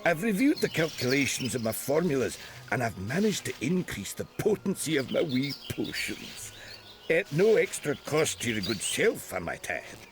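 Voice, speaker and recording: Man playing a very animated potion peddler. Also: medium pitch, background noise, natural voice. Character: wheedling, obliging male potion merchant. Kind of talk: video game character acting.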